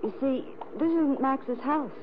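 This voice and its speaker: A woman's voice: high-pitched, measured pace. A guarded woman among crooks in an old radio mystery. She speaks tersely and warily, in a slightly dull broadcast recording with light background noise. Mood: uneasy. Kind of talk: 1950s radio drama acting.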